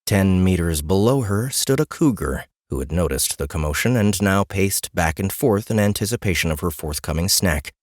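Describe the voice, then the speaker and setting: A man's voice: low-pitched, lively intonation, moderate pace, few pauses, dry recording, studio quality. A man reading a scripted fantasy story as an audiobook narrator.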